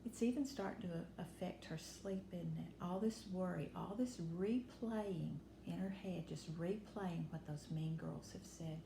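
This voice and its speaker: A woman reading a children's picture book aloud, dramatically, often dropping to hushed tones, with explanatory asides. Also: fairly low pitch, a little slow, creaky, few pauses. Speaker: story reader. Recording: roomy echo, faint background noise.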